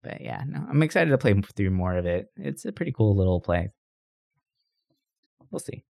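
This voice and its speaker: Low-pitched man, casual gaming chat. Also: big pitch swings, normal speed, vocal fry, warm tone, dry recording, studio quality. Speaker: podcast co-host.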